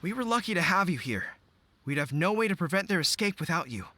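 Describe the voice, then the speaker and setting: A man's voice: high voice, very animated, quick pace, sharp tone, full character voice. An actor playing an earnest, by-the-book young general in a video game who plans carefully and talks through his tactics. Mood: relieved.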